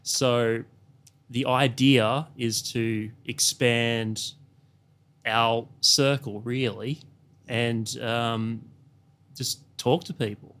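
A man's voice: medium pitch, steady tone, drawn-out words, fairly bright tone. A man co-hosting a casual podcast, often restarting and repeating words. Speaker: podcast co-host. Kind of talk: casual chat.

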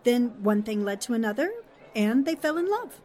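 A woman's voice: a little high, animated, moderate pace, a little mellow, close to an everyday voice. A woman playing a shrewd, sympathetic young newspaperwoman in an old-time radio drama, wry and poised. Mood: warm, wry. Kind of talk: 1940s-style radio drama acting.

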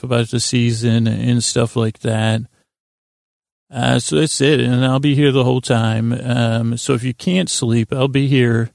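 A man with a gravelly, creaky voice hosts a sleep podcast in a close, dry recording. He speaks in lulling tones at a middling pitch. His monologue wanders and halts, gently poking at himself as it goes. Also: dry recording. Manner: meandering, halting, gently self-deprecating. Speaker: podcast host.

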